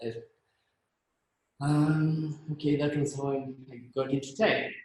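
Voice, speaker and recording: A man's voice: on the high side, flat delivery, darkish tone, roomy sound, a little hiss or hum, low-quality recording. A young man from Kenya casually presenting his slides, hesitant and full of fillers and restarts. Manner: halting, many fillers and restarts. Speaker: team member presenting himself.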